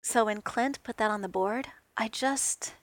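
A kind, earnest male soldier, read by a woman, speaking softly with small hesitations. The pace is slow and even, in a dry close recording. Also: fairly high pitch, no room sound. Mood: shy. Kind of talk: audiobook reading of fan fiction.

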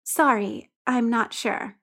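A man's voice: very high-pitched, takes it slowly, fairly bright tone, heavily acted. A woman voicing a shy, self-doubting young man who answers hesitantly in a storybook reading. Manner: hesitant, distracted.

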